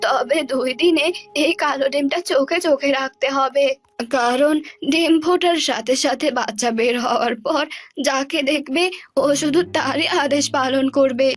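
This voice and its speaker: A woman voicing a solemn, scheming royal healer in a cartoon fairy tale, gravely giving instructions. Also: sits high, normal speed, close to an everyday voice.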